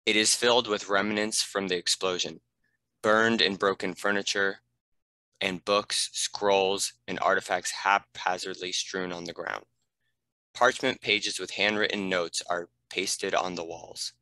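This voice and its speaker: A man's voice: low voice, level tone, measured pace, sharp tone, no room sound. A man reading stage directions as narrator, in a scripted narration style.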